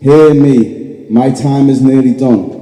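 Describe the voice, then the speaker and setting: A male actor voices a reproachful dead man's spirit in a radio drama. The voice is very dark and muted, grave and declamatory. It rings through a hall with long reverb. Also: medium pitch, fairly even intonation, slow pace, lightly acted. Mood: stern, insistent. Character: solemn, reproachful male ghost of a dead business partner. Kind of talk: stage radio play acting.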